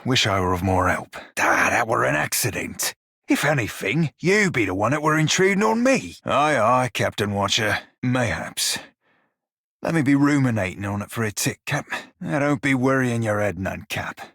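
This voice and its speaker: A man playing a roguish, good-hearted creature in a video game, talking in salty dialect with a rough, husky voice. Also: medium pitch, sing-song, normal speed, a bit bright, dry recording, studio quality. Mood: casual, defensive. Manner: salty pirate dialect, colloquial. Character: roguish blue-furred psychic pirate, flirty, salty, good-hearted. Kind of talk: video game character dialogue.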